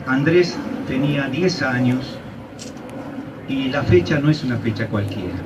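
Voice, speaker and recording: A man's voice: medium pitch, lively intonation, unhurried, far from the mic, background noise. A man from Santa Cruz, Argentina, with an Argentine accent, giving an earnest commemorative speech at a memorial ceremony, with frequent "eh" and "este" fillers. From Argentina, Santa Cruz province. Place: outdoor street ceremony.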